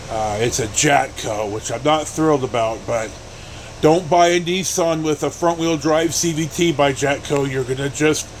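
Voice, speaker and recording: Middle-aged American male vlogger, high register, blunt halting rambler, light cabin noise behind. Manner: halting, blunt, mildly profane. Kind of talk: casual rambling storytelling. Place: inside a pickup truck on the road. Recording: steady vehicle cabin noise.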